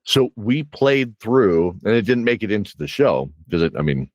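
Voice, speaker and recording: A man's voice: fairly low pitch, very animated, moderate pace, no echo, dull audio. A man hosting a casual podcast interview, telling game stories in a rambling way and repeating words as he thinks. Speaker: host and game master interviewing a player.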